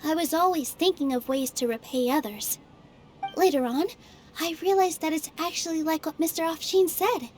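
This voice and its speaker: A woman voicing a kind, cheerful young female dancer who talks in a warm, reassuring way in a video game. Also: high voice, normal speed, a little hiss or hum, natural voice. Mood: gentle. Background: game music.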